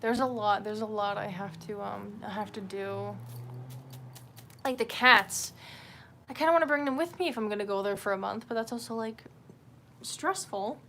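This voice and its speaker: A woman's voice: medium pitch, normal speed, pauses often, sharp tone, slight background noise. A woman chatting casually on a livestream, rambling in a candid, sweary and self-deprecating way. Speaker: livestream host.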